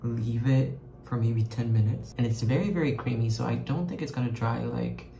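A man's voice: mid-range voice, moderate pace, creaky, dark tone. A young man whose first language is French, chatting casually and self-mockingly to a phone camera held at arm's length while vlogging a trip. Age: young adult. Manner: chatty, rambling, self-mocking, many fillers. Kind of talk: casual travel vlog chat. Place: hotel room and bathroom, then night street market.

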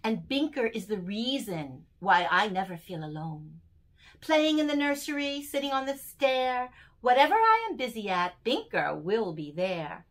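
An older woman plays a little boy from a children's storybook, earnest and fond. The voice is singsong and emphatic, confiding each line at a measured pace. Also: a little high, very animated.

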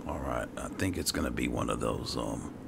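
A man's voice: extremely low pitch, normal speed. A man muttering to himself in casual commentary as he plays and roleplays a fantasy game. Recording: clear background noise, game ambience.

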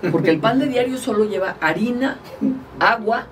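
A woman's voice: on the high side, lively intonation, normal speed, recorded from a distance, slight background noise. A woman from Mexico, a guest politician, chatting casually and animatedly in an interview, often laughing as she tells stories. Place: small studio, indoors. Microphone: table mic, far from speaker.

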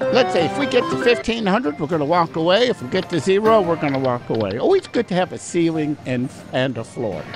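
An older man with a hoarse, raspy voice, chatting casually to viewers while playing slots in a casino. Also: on the high side. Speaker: slot machine vlogger. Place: busy casino floor. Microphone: handheld microphone in front of him. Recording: casino slot machine music behind. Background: slot machine music and sounds.

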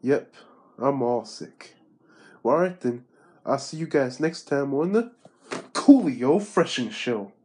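A man's voice: mid-range voice, expressive, slow pace, pauses often, darkish tone, slight background noise. A young man hosting his show while sick, with a sore throat and stuffy nose, stammering and repeating himself, recorded on a phone at arm's length in a small bedroom. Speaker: host. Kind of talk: casual vlog outro.

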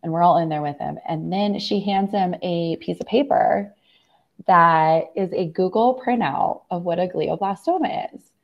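A middle-aged American woman, hoarse from laryngitis, telling a personal story as a guest in a casual video-call interview. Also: fairly low pitch, unhurried, lo-fi sound. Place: room at home, on a video call.

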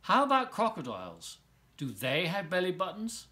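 An earnest little storybook boy, acted out by a man reader, polite but insistent. The delivery is lively and swooping, with a bright, raised pitch. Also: normal speed, full character voice. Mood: eager.

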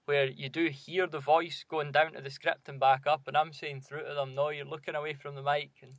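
A man's voice: a little high, level tone, brisk, no echo. A man hosting a podcast interview, chatting casually and putting questions to his guest. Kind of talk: casual chat.

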